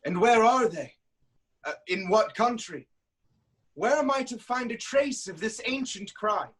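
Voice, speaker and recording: A man playing a proud, commanding king of ancient Thebes in a staged reading of Greek tragedy, declaiming deliberately. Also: high voice, lively intonation, a bit bright, husky, full character voice. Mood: questioning.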